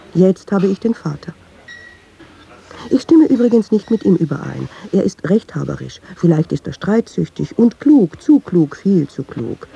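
Gravel-voiced, very dark brooding woman. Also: medium pitch. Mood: brooding, wry. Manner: reflective, short clipped sentences, musing. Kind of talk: literary radio play monologue and dialogue. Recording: dull sound, faint noise.

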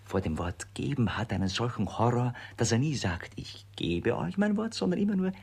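An actor playing a wily, mocking servant in a radio play, with theatrical emphasis. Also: male voice, mid-range voice, sing-song, a little fast, vocal fry, a little mellow, slightly rough, exaggerated voice. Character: cheeky, sardonic, wily male servant. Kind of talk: German radio play stage acting.

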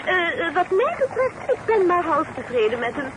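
A mocking young lady in a period radio play. Her high voice swings playfully as she needles her listener. The old recording is clearly noisy. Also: big pitch swings, moderate pace, a crowd in the background. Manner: teasing, mocking. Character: Santje, teasing sharp-tongued young Dutch lady of past centuries. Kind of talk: radio drama acting.

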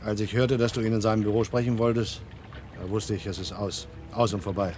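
An actor playing a weary, resigned art forger in a German crime radio play, terse and matter-of-fact. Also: male voice, fairly low pitch, fairly even intonation, brisk, a little mellow, faint music behind, lightly acted. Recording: vintage radio play, faint engine-like noise.

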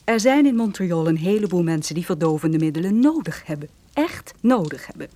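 Een vrouw die in een hoorspel een koele, amorele bendeleidster speelt, verleidelijk en hard. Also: female voice, on the low side, animated, a little fast, warm tone. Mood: persuasive. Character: cool, amoral woman, secret leader of a drug gang. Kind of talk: radio drama acting.

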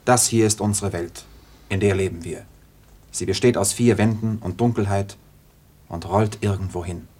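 A man's voice: fairly low pitch, fairly even intonation, moderate pace, a little mellow, lightly acted. A man playing a stubborn and fearful grandson in a German radio play, insistent and anxious in his delivery. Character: stubborn, fearful grandson who knows only darkness.

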